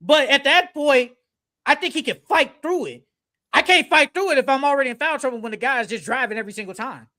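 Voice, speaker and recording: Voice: high voice, quick pace, pushed and bright. A sports podcast co-host in a casual basketball debate, speaking in an emphatic, opinionated, slangy way.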